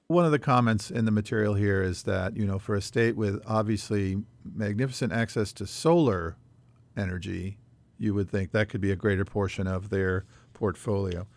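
A man hosting a conversational policy news discussion, explaining things in a measured way. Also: on the low side. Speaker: podcast host leading the discussion.

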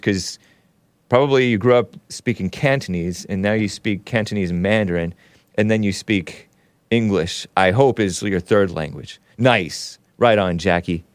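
A man's voice: medium pitch, clean tone, no echo, clean studio sound. A man hosting a casual livestream, reading viewer chat aloud with jokey asides and frequent chuckles. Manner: jokey, reads chat messages aloud in mock voices, chuckles often.

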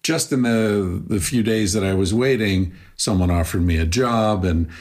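A man's voice: on the low side, moderate pace, darkish tone. A man interviewing in a casual, reflective way, searching for his words as he goes.

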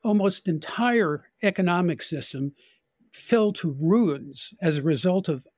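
An older man with an American accent giving opinionated, deliberate political commentary in conversation as a podcast guest. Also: high voice, lively intonation, a little mellow, no room sound, clean studio sound.

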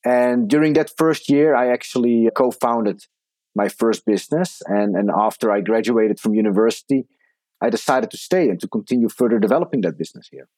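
A man's voice: mid-range voice, brisk, dark tone, dry recording. A man in his thirties from the Netherlands with a Dutch accent, a native Dutch speaker, answering conversationally as an interview guest. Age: 30s. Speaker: podcast guest, entrepreneur.

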